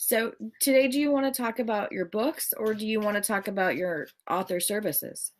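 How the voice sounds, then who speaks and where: A woman's voice: medium pitch, moderate pace. A woman hosting an interview, friendly, with hesitant restarts. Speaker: host. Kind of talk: casual interview over video call.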